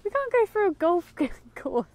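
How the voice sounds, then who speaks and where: A woman's voice: extremely high pitch, sing-song, normal speed, a little mellow. A young British woman co-hosting a film vlog, chatting in an informal, understated way while walking outdoors. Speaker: co-host of a film discussion vlog. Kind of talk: casual chat while walking. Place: outdoors, walking in woods and a golf course.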